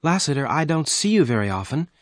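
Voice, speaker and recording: A man voicing an earnest young woman who speaks softly and pleadingly, in a raised voice. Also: fairly high pitch, big pitch swings, fast, no room sound, studio quality, voice pushed up. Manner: soft, pleading. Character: unhappy, earnest young woman, mistress of the ranch.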